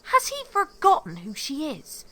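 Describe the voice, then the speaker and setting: A woman's voice: high-pitched, huge pitch swings, normal speed, pushed and bright, full character voice. A woman playing an earnest, starstruck, eager young woman in an audio drama. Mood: shocked, earnest.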